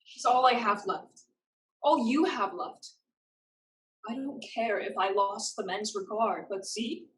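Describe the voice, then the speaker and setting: A woman's voice: medium pitch, measured pace, lightly acted, poor audio. A woman acting a blunt, proud young female pirate fighter in a staged reading of a drama. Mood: troubled.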